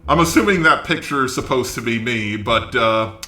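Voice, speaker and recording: A man's voice: mid-range voice, moderate pace, bright, forceful tone, roomy sound, faint music behind. A man delivering blunt, sweary, opinionated commentary as a video host, often asking rhetorical questions. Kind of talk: opinionated drama commentary, talking to camera audience.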